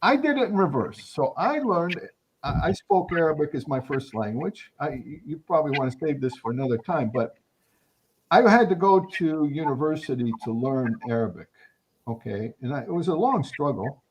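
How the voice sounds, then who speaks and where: An older man talks slowly through his ideas, his voice rising and falling in lively swings, with frequent restarts and fillers. The pitch sits a little high for a man, and a faint hiss and clicks run under the call. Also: low-quality recording. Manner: thoughtful, hesitant, many restarts and fillers. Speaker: guest anthropologist. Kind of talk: reflective conversational interview answers.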